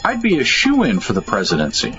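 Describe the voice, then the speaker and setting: A man's voice: medium pitch, wildly swooping pitch, fairly quick. A man playing a vain, petulant superhero in a comedy audio drama, melodramatic and pompous. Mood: scheming. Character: vain, petulant male superhero with presidential ambitions. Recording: dull lo-fi, music behind.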